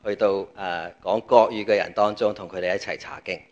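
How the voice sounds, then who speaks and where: Low-voiced Cantonese man preaching. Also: fairly even intonation, a little fast, lo-fi sound. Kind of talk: sermon with pauses for an interpreter.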